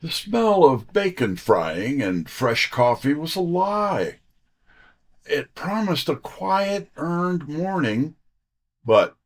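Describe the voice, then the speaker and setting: Wisecracking detective's sidekick, male actor: street-smart, hard-boiled narrator, measured pace, lively intonation. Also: a little high, heavily acted. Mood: tense, wry. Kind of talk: first-person hard-boiled audio drama narration.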